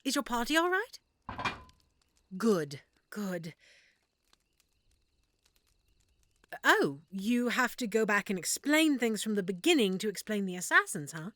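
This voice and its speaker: A woman playing an affectionate, excitable half-elf shopkeeper in a roleplay audio drama, speaking expressively and warmly to the listener. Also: mid-range voice, moderate pace, dry recording. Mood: curious, caring. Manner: expressive, warm, reacting with feeling.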